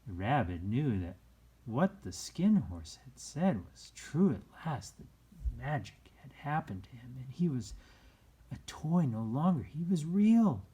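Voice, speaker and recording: A man reading a children's story aloud as an expressive narrator. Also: mid-range voice, a little slow, breathy edge.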